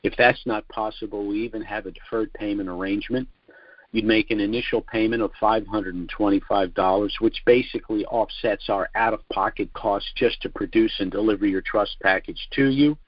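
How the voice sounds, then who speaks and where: An older man giving a formal, deliberate sales presentation as the host of a financial conference call. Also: on the low side, steady tone, no room sound. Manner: deliberate, formal, measured phrasing. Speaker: financial trust consultant hosting a conference call. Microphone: telephone conference call. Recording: muffled lo-fi conference call audio.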